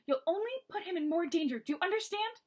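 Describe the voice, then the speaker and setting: A woman voicing a strong, stern older woman who speaks in hushed, urgent whispers. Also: extremely high pitch, expressive, fast, pitched above the performer's own voice.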